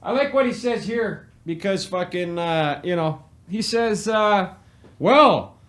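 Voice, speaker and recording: A man's voice: high-pitched, lively intonation, takes it slowly, fairly bright tone. A man giving a crude, mocking, exasperated reaction commentary in a casual, profane style. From Canada. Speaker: commentator reacting to a press conference.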